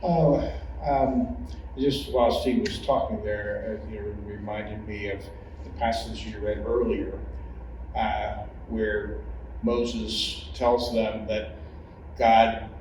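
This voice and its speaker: A mature man speaks slowly in a fairly low voice, humbly reflecting as a former church leader with many hesitant pauses. He sounds distant in an echoey room with noticeable noise. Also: level tone. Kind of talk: reflective personal testimony.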